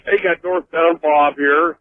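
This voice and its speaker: A man's voice: on the high side, steady tone, a little slow, no room sound. An American man chatting casually as a caller to a radio show. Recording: thin phone line on radio.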